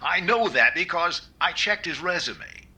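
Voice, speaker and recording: Man as a bright, animated, fussy radio comedian. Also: sits high, measured pace, full character voice. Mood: wry narration. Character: fussy, vain radio comedian host, narrating as accused man. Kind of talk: old-time radio comedy acting.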